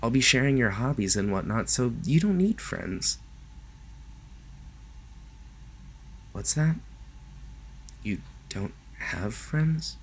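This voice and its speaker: A man playing a lovestruck, possessive kidnapper in an ASMR roleplay, speaking softly and haltingly to the listener, sometimes close to a whisper. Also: mid-range voice, expressive, a little hiss or hum. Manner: soft, gentle, halting, sometimes dropping to a whisper. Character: lovestruck male yandere kidnapper, doting, possessive, awkwardly reassuring.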